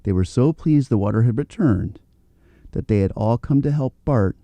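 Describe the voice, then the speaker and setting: A man narrating a children's story as a read-aloud, in a gentle, expressive storytelling manner. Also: medium pitch, normal speed, dry recording.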